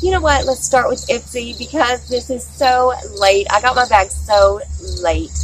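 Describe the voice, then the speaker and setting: A woman's voice: a little high, a little hiss or hum, rough recording. Chatty woman outdoors. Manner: chatty, enthusiastic, says "y'all" and "guys" often. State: slightly scratchy from a sore throat. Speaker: beauty vlogger. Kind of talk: casual product review. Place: outdoors in a backyard. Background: crickets and insects, barking dogs.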